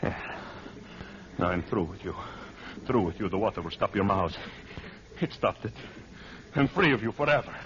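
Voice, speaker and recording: A haunted killer at sea, raving and cornered, repeating himself in bursts. It is vintage radio horror with heavy noise and a muffled, narrow sound. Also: male voice, on the low side, animated, moderate pace, fairly bright tone. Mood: gloating, manic. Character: guilt-crazed murderer haunted at sea, cornered and frantic. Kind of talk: 1940s radio horror acting. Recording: noisy muffled 1940s radio recording.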